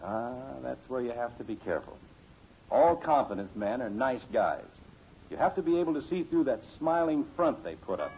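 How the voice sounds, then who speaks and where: A man's voice: medium pitch, big pitch swings, normal speed, a little mellow. An actor playing a sensible middle-aged father in a 1950s radio sitcom, patiently lecturing with a pompous self-assurance. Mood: self-assured. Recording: muffled, hissy old radio recording.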